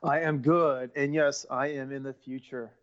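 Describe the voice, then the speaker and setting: A man's voice: medium pitch, steady tone, normal speed. Chatty man on a dull remote line. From Central California. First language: Portuguese. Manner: chatty, rambling with many ums and restarts. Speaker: actor guest. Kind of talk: casual interview over a call.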